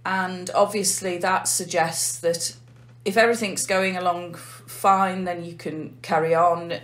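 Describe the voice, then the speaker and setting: An English woman, a nurse manager, calmly explaining a heart failure clinic in an interview. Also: on the low side. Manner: measured, methodical explaining.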